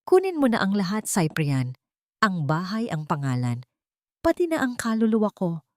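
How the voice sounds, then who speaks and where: A woman voicing a betrayed wife in a story, quiet, grave and restrained, coldly resolved on revenge. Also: mid-range voice, wildly swooping pitch, normal speed, no echo. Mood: resigned.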